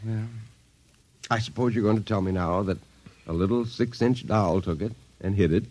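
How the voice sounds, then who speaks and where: A man's voice: fairly low pitch, normal speed, darkish tone, lightly acted. An actor playing a stern, short-tempered army colonel and father in a 1950s radio drama, blunt, commanding and exasperated.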